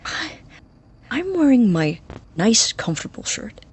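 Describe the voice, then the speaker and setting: A woman playing a nervous, awkward young scientist in a naturalistic audio drama, husky and halting, easily flustered. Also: medium pitch, big pitch swings, full character voice. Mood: wounded, exasperated. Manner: halting, stammering, indignant when challenged.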